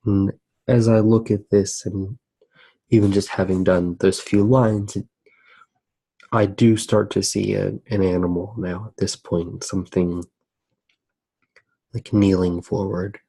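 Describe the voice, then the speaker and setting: A man with a low, gravelly voice talks softly in an ASMR style while drawing. He muses aloud at a slow pace, hesitating and pausing between thoughts. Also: little pitch movement. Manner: hesitant, musing, thinking aloud with pauses. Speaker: host of an ASMR drawing channel. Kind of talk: soft-spoken ASMR commentary while drawing.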